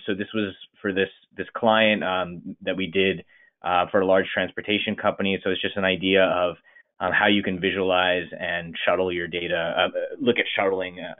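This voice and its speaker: A man, a consultant, presenting a case study on a webinar in a hesitant way full of fillers. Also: low voice. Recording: phone-line webinar audio.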